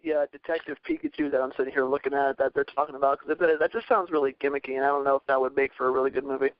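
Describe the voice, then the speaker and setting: Quick, clear-voiced man on a podcast. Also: mid-range voice, level tone, no echo, highs cut off. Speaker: podcast co-host. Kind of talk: casual chat and channel plug.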